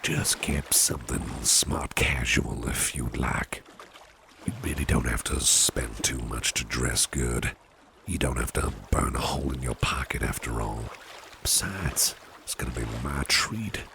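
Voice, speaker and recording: A man voicing a suave, attentive gentleman boss in a gravelly, half-whispered ASMR roleplay, speaking in a reassuring, coaxing way. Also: extremely low pitch, measured pace, slight background noise, exaggerated voice. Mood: courteous, coaxing.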